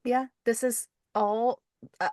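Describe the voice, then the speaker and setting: A woman's voice: on the high side, steady tone, takes it slowly, a little mellow, no room sound, studio quality. A woman hosting a podcast, interviewing casually and effusively, thinking aloud in informal phrases. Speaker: podcast host.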